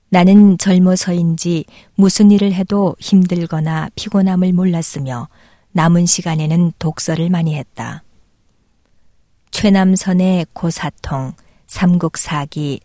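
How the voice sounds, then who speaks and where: Female memoir reader, low mellow tone, steady measured delivery, dry close sound. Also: no echo. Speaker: narrator. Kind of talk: reading a memoir aloud.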